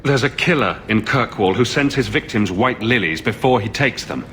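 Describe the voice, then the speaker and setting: A man's voice: mid-range voice, moderate pace, a bit bright, slight background noise, close to an everyday voice. An actor playing a blunt, serious male hero in a fantasy game, matter-of-fact in manner. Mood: grave. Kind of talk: dramatic game acting.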